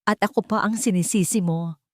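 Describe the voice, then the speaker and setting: A woman's voice: medium pitch, fairly quick, dry recording, clean studio sound, natural voice. A woman voicing a protective grandmother in an audio drama, urgent and indignant. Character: protective, outraged grandmother confronting her family.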